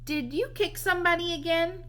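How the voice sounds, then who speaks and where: A woman plays an earnest little storybook boy who pokes into everyone's business kindly. The voice is high and bright. He gives homespun advice in a persistent, matter-of-fact way. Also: brisk. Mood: nosy. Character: earnest, nosy little red-headed boy, kind and persistent.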